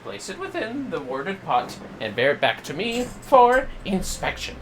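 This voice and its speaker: Pompous young scholar for a fantasy game, super excitable, pitched way up, swooping all over, grandiose. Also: male voice, unhurried, a little hiss or hum. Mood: eager, pompous. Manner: grandiose, florid, alliterative, theatrical exclamations. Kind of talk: theatrical reading of video game dialogue.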